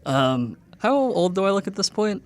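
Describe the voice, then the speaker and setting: A man chatting and role-playing casually as a player in a tabletop game, with halting, deadpan phrasing. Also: sits high. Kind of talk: casual improvised role-play and chat.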